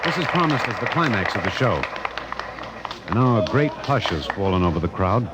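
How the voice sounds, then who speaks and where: An actor playing a genial, excitable American radio reporter giving declamatory, hesitant live commentary in 1950s style. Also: male voice, fairly low pitch, normal speed, very noisy. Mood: hushed anticipation. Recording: loud crowd and band music behind.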